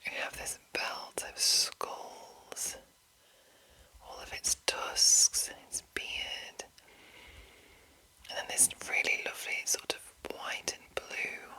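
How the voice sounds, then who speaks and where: Slow whispering woman. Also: pushed and bright, poor audio. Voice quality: breathy unvoiced whisper. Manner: slow, soothing, deliberate delivery. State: under the weather with sore throat and breaking voice. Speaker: ASMR channel host. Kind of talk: whispered ASMR, reading and commenting.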